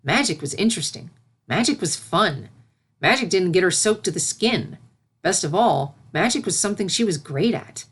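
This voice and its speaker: Low-voiced woman narrating a story. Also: lively intonation, moderate pace, slightly rough. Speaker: fan fiction narrator. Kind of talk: expressive scripted story narration.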